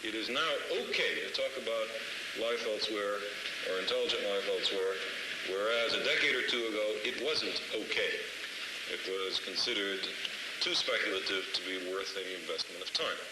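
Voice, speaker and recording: A man's voice: on the low side, normal speed. A man giving considered remarks as a panelist at a symposium. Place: indoor symposium hall. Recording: echoey room, loud background noise.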